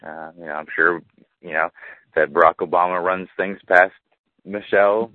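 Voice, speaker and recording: A man hosting a casual political chat, measured and summarizing, with many fillers. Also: low-pitched, fairly even intonation, no room sound. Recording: tinny phone-like line, dry.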